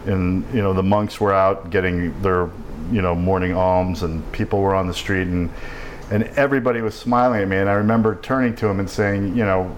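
Low-voiced man telling his story haltingly. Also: fairly even intonation, a little hiss or hum, poor audio. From Pennsylvania, United States. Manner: halting, many restarts and fillers, swears casually. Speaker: guest. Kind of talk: casual conversational storytelling. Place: indoors in Bangkok.

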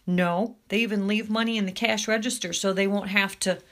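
A woman's voice: mid-range voice, steady tone, a little fast, fairly bright tone, natural voice. A woman voicing an earnest teenage boy, nervous and defensive, in a storybook reading. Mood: anxious protest.

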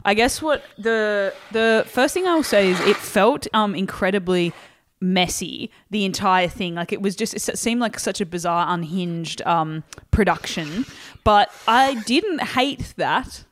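A woman's voice: mid-range voice, unhurried. A young woman co-hosting a podcast, chatting casually in a sardonic, rambling way and swearing freely. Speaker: podcast co-host. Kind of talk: casual chat.

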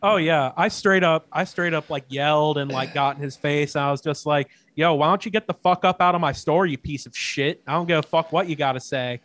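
A man's voice: on the high side, fairly quick, few pauses, fairly bright tone. A young man telling stories in a casual chat, swearing freely, laughing often and tripping over his words. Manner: profane, loose storytelling with many fillers and restarts. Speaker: podcast co-host.

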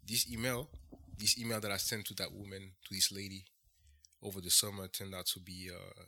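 A young man from France with a French accent, a guest telling his story in an interview and pausing often on "uh" and "um". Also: low-pitched, little pitch movement, gravelly, sharp tone, a little hiss or hum. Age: young adult. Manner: measured, pauses with frequent "uh" and "um". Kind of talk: casual interview talk.

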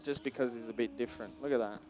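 A man whose first languages are Swahili and French, preaching informally to young people with conversational, animated storytelling and questions to his listeners. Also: mid-range voice, fast talker, background noise. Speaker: preacher speaking to a youth group. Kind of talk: informal sermon with personal anecdotes.

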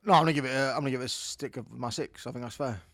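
A man's voice: mid-range voice, gravelly, a bit bright, no echo, studio quality. A man with a Welsh accent, co-hosting a casual film review podcast and bluntly sticking to his opinions. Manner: blunt, stubborn, quick. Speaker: podcast co-host.

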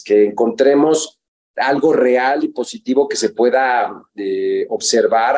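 Male coach guest; measured, reflective delivery full of fillers. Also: mid-range voice, a little mellow. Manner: reflective, with frequent fillers and restarts. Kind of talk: conversational interview.

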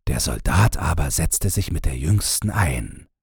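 Very husky, deep-voiced male storyteller. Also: big pitch swings, unhurried, dry recording, studio quality. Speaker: audiobook narrator. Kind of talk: scripted fairy-tale narration.

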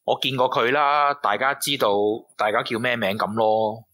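Casting: male actor as guarded, streetwise Hong Kong Cantonese informant, sharp mid-pitched voice, slangy radio drama. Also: moderate pace, natural voice. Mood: cagey, knowing.

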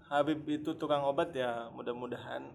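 A man's voice: medium pitch, steady tone, moderate pace, vocal fry, darkish tone. A man co-hosting a casual religious podcast, chatting agreeably and often chuckling. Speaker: podcast co-host.

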